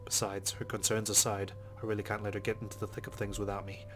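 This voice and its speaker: Low, brisk, even-toned male game narrator. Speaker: let's play host. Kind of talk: reading visual novel narration aloud. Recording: game music behind the voice. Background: game music.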